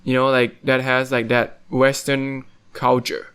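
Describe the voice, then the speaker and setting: A man's voice: mid-range voice, monotone, unhurried, fairly bright tone, clear, resonant tone. A young man from Thailand, hosting a casual podcast chat and rambling along with lots of fillers and restarts. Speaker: podcast host.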